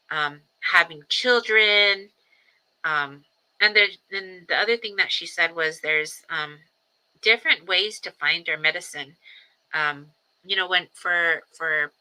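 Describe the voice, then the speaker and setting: A woman in her forties talks in a casual, reflective livestream, circling back on herself with ums and small restarts. Her voice sits a little low but has a very bright, edgy sheen. She stays earnest and steady even when she speaks of grief. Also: rough recording. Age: 40s. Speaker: livestream host.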